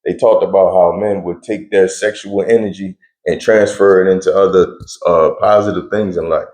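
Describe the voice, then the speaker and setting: A man's voice: low voice, fairly even intonation, moderate pace, darkish tone, roomy sound. A young man answering interview questions in a laid-back, blunt way with slang and frequent laughs. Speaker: interview guest.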